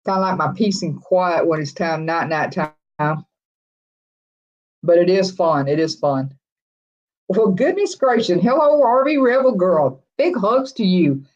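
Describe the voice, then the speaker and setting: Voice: mid-range voice, lively intonation, a little slow. Chatty host on dull, lo-fi audio. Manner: rambling, friendly, chuckles often, many restarts. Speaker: RV-living livestream host. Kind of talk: casual live chat, reading viewer comments aloud.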